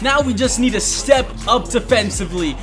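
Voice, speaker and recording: Very high-pitched male voice, gamer host, self-hyping basketball play-by-play, music behind. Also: expressive, normal speed, fairly bright tone. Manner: self-hyping, narrates own plays in present tense. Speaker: video gamer and channel host. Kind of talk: basketball video game play-by-play commentary.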